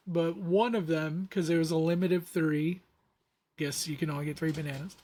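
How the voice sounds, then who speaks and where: A man hosting a relaxed podcast chat with a high voice. He talks in an animated way and keeps slipping into quoted asides as he retells what he read. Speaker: podcast host.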